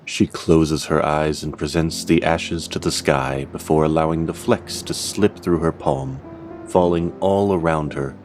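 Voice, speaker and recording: A man with a very deep voice narrates a fantasy tale. His delivery is measured and theatrical, that of a scripted storyteller, with faint music behind him. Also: fairly even intonation, darkish tone. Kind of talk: scripted dramatic narration of a fantasy audio drama.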